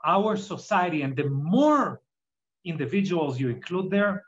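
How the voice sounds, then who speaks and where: Israeli guy talking it through w examples, kinda higher voice, muffled lo-fi sound. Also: lively intonation, measured pace. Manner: reflective, explains with examples. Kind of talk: casual conversation. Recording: muffled lo-fi audio.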